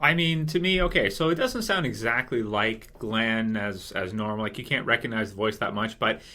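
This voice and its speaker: Bright-voiced man giving casual fan commentary. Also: lowish voice, moderate pace, slight background noise. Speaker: video host.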